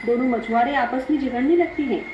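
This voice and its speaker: Fairly high-voiced woman narrator, echoey. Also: a little fast, background noise. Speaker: story narrator. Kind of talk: scripted dramatic storytelling. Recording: echoey, dull, music behind.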